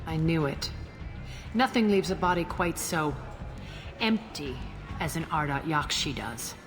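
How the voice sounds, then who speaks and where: A woman's voice: sits low, animated, a little slow. A woman playing a cool, commanding female crime boss in a video game, with dry, curt delivery. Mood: cool, knowing. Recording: long hall reverb, music behind.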